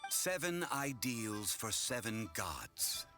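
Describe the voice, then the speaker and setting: A man playing an ancient, calm god living as a refined gentleman in a video game, speaking in a measured, formal and eloquent way. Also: fairly low pitch, soft background music, exaggerated voice. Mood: grave, explanatory. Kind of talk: scripted video game dialogue.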